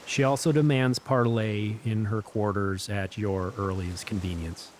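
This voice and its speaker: Low, deferential messenger man played by an actor. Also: fairly even intonation, measured pace, a little mellow, slight background noise, close to an everyday voice. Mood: apologetic, formal. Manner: formal, deferential, stammering. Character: polite, flustered messenger of a ship's captain. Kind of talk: fantasy audio drama acting.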